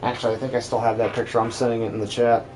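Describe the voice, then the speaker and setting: A man's voice: medium pitch, flat delivery, racing, darkish tone, soft background music, poor audio. A man streaming a game and chatting casually as an amateur voice actor.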